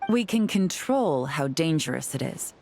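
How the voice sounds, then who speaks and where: A woman's voice: sits low, big pitch swings, a little slow, vocal fry, darkish tone, slight background noise, exaggerated voice. A woman playing a cool, calculating strategist in a video game, composed and firm in her delivery.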